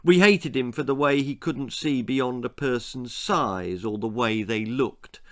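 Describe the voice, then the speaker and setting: A British man narrates a children's story at a medium pitch. He reads as its author would, dramatically, setting off key phrases with pointed pauses. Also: fairly bright tone. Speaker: author reading his own story.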